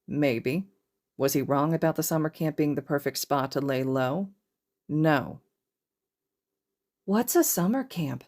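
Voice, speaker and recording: A woman's voice: deep voice, steady tone, a little slow, a little mellow. A woman reading a scripted story aloud as a fiction narrator. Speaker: podfic narrator.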